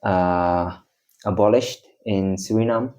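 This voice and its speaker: A man's voice: low-pitched, fairly even intonation, takes it slowly, a little mellow. A young man from the Netherlands, a guest in a casual interview, talking in a hesitant way with many ums and restarts.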